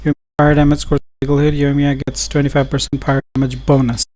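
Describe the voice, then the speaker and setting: A man's voice: medium pitch, little pitch movement, quick pace, a little mellow. A man giving casual gameplay commentary as a gaming YouTuber, in short offhand reactions.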